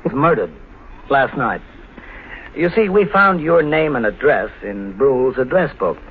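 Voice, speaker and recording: An actor playing a police lieutenant in a 1950s radio mystery, casually probing a suspect with pointed, insinuating questions. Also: male voice, mid-range voice, expressive, measured pace. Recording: tinny, faintly hissy 1950s radio recording.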